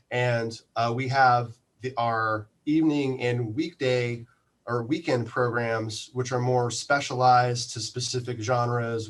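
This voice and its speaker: A man speaking as an interview guest, explaining things casually in a halting, thoughtful way. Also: mid-range voice. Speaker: interview guest, community radio manager.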